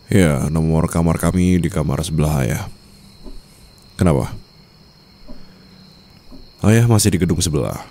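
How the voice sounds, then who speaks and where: A man playing a cool, controlling young mafia boss and new husband in an ASMR roleplay, composed, formal and quietly commanding. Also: very deep voice, measured pace, long pauses. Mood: polite, reassuring. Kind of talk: Indonesian ASMR roleplay acting.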